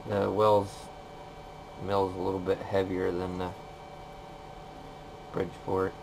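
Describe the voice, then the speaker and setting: A man's voice: deep voice, monotone, takes it slowly, creaky. A man giving terse, casual, off-the-cuff commentary as a machinist demonstrating a repair, muttering plainly while he works. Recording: noisy workshop recording.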